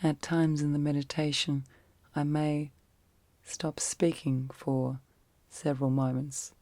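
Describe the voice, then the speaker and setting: A woman leading an ASMR guided sleep meditation in a soft, breathy, often whispered voice, speaking gently and soothingly in short phrases with long pauses. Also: low voice, little pitch movement, no echo.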